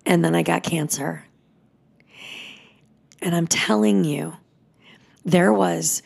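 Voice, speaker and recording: Yoga teacher, woman, 30s: slow, gravelly and husky, soft and heartfelt, slips into whispers. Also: mid-range voice. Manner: emotional, soft, drops into whispers. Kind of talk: reflective spoken teaching.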